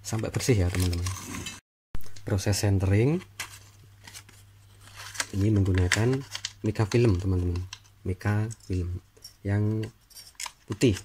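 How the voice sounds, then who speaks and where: A man's voice: fairly low pitch, steady tone, takes it slowly, long pauses, noisy background. A man giving an informal hands-on tutorial, talking viewers through the work in short step-by-step phrases. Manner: talks in short step-by-step phrases while working, addressing viewers. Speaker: repair tutorial host.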